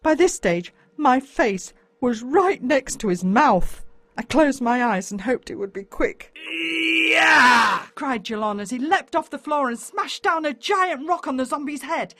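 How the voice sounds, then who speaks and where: A woman with an Australian accent reads a first-person story as a dramatic, expressive audiobook narrator. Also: sits high, unhurried, no echo.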